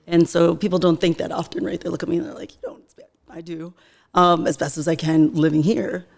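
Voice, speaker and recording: A woman's voice: lowish voice, level tone, fairly quick, creaky, a little mellow. A woman in her forties, Vietnamese, a teacher telling her story earnestly in a casual interview. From United States. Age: 40s. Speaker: guest, teacher and business owner.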